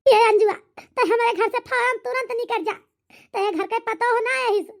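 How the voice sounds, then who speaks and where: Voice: very high-pitched, steady tone, normal speed, creaky. A performer with an Awadhi accent voicing a plaintive, blunt, regretful elderly village mother-in-law in a rambling, lamenting cartoon comedy style. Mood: scolding.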